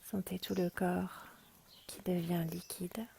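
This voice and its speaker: A woman guiding a relaxation meditation in a hushed, breathy, half-whispered, soothing voice, as a yoga nidra teacher. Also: fairly low pitch, flat delivery, slow, slight background noise. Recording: rain and birdsong behind the voice. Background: rain and birds.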